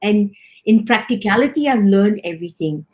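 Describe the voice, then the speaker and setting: A woman's voice: mid-range voice, animated, moderate pace. Woman from singapore in her 50s, chatty interview talk, laughs a lot, keeps restarting. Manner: warm, chatty, laughs often, many restarts. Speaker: hospital director. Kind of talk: casual interview chat.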